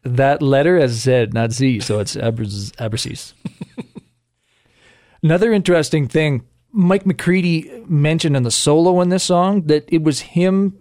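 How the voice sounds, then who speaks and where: A man's voice: a little high, measured pace, darkish tone, no echo, clean studio sound. A Canadian man co-hosting a casual music podcast, sharing trivia and laughing often. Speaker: podcast co-host.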